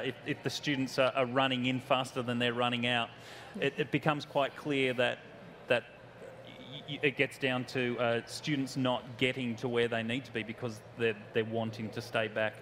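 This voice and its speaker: A man answering on a conference panel, halting and repeating words as he thinks aloud. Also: medium pitch, level tone, a bit bright. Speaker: panelist, educator.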